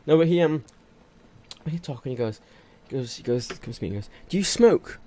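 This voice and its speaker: A man's voice: on the high side, lively intonation, fairly quick, dark tone, slight background noise. A man telling casual anecdotes as a livestream co-host, rambling, repeating himself and given to giggling.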